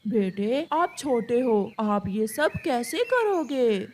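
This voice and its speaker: A woman voicing a worried, protective cartoon father bird in a measured, concerned way. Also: on the high side, sing-song, darkish tone, a little hiss or hum.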